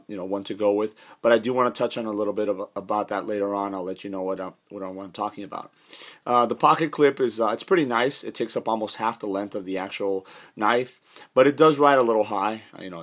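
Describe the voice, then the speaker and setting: Hoarse, lowish male reviewer; chatty, filler-heavy, talks over his hands on camera. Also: a bit bright. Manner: rambling, many fillers and restarts. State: hoarse from a cold. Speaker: knife reviewer. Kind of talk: casual product review. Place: indoors, carpeted room.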